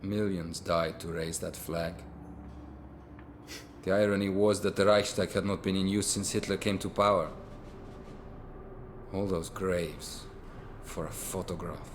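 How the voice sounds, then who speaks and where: An actor playing a weary, guilt-haunted Soviet lieutenant who narrates in a grave, reflective way, over a loud orchestral score. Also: male voice, low-pitched, fairly even intonation, unhurried, pauses often, background noise. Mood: somber. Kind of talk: video game cutscene voice acting.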